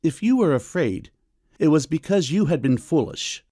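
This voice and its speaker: A man playing a stern, middle-aged doctor and father in a period audiobook drama, with a measured, formal, coolly ironic manner. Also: a little high, very animated, warm tone, no room sound, studio quality. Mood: stern, disapproving. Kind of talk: full-cast audiobook period drama acting.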